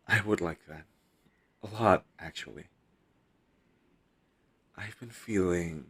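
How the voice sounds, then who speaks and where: Man acting as a deep gravelly teasing captive hero. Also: a bit bright, exaggerated voice. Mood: shy, tender. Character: captured superhero posing as a hostage, teasing, flirtatious, coy. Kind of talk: intimate scripted audio roleplay.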